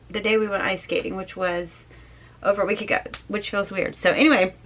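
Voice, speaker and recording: A middle-aged woman chatting casually in a home vlog, hoarse from mild laryngitis and worn out, rambling softly and trailing off. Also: medium pitch. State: tired and worn out. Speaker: home vlogger. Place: small closed pantry indoors.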